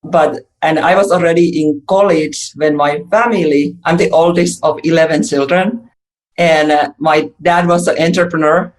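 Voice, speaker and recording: A woman from Finland telling her story as a podcast guest, lively and chatty. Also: sits low, moderate pace. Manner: lively, chatty, laughs often. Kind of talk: interview storytelling.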